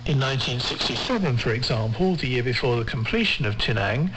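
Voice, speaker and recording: A male radio presenter with a mid-pitched voice narrates a scripted feature. He reads in a measured, formal manner with careful enunciation. The shortwave recording carries light noise and hum. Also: expressive.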